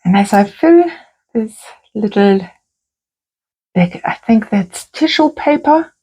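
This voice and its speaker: Slow, breathy-voiced woman crafting calmly. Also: medium pitch, rough recording. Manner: gentle, soft-spoken, unhurried. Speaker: creative therapist. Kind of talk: calm crafting tutorial.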